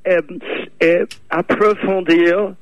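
A man's voice: high voice, fairly even intonation, takes it slowly, no echo, lo-fi sound. A man putting on a pushy English-speaking caller who mixes English and French and keeps repeating himself. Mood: insistent.

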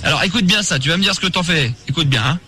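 A man hosting a radio phone-in, teasing and mocking the caller and cutting in quickly. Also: fairly high pitch, noisy background. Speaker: radio host.

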